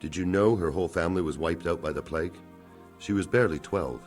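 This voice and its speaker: Man as a compassionate medieval man, very deep. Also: fast talker, soft background music, lightly acted. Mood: sympathetic. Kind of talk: video game cutscene acting.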